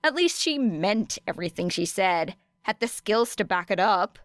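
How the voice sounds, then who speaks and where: A woman's voice: mid-range voice, expressive, moderate pace, dry recording. Female audiobook narrator, clean resonant voice, dry sound, scripted storytelling. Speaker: audiobook reader of fan fiction.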